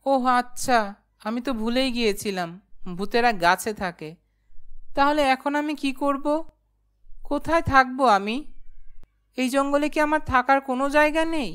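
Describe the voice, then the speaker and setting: A woman's voice: high voice, moderate pace, long pauses, a bit bright, clean tone. A woman voicing a timid, naive, polite young man who tells his own cartoon story in a meek, earnest way. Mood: pleading. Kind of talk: first-person cartoon storytelling and dialogue.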